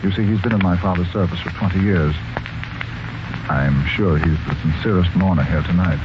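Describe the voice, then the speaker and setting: An actor playing a well-bred man in his thirties, with measured, formal speech in 1940s radio mystery style. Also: sits low, lightly acted. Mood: polite, subdued. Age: 30s. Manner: courteous, measured, hesitant. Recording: noisy dull 1940s radio recording.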